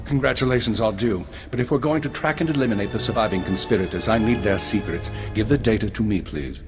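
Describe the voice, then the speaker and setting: Man as low-voiced, grave, weary intelligence minister. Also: close to an everyday voice. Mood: commanding. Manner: measured, formal, grave. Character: weary senior intelligence minister, regretful, authoritative older man. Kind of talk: scripted dramatic game acting. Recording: orchestral music behind.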